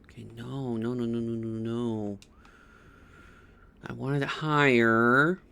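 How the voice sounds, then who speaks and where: Male game streamer, mid-pitched, casual think-aloud delivery with muttered, repeated words. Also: steady tone, slow, gravelly, pushed and bright, clear, resonant tone.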